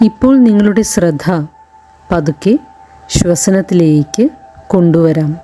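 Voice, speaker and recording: A woman guiding a meditation in a gentle, soothing way, in short phrases with long pauses. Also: lowish voice, a little hiss or hum. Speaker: meditation guide. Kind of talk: guided meditation narration. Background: background music.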